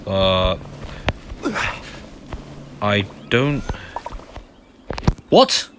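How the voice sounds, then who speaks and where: A man's voice: fairly low pitch, animated, very slow, noisy background. A man doing casual gameplay commentary, muttering to himself with exasperated asides. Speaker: gamer commentating a playthrough. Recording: game music behind the voice.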